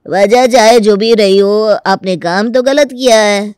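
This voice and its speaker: A man's voice: high-pitched, a little slow, a little mellow, smooth, dry recording, studio quality. An actor playing a stubborn, crafty young village man who rents a room, folksy and mock-polite as he argues in a comic story. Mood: firm, threatening. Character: stubborn, crafty young rural male tenant with cattle. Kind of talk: Hindi comic story dramatization.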